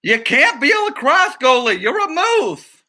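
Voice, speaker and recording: A man's voice: extremely high pitch, sing-song, measured pace, pushed and bright, pitched above the performer's own voice, exaggerated voice. A man voicing a bossy, exasperated film director in a storybook, with an indignant, emphatic delivery.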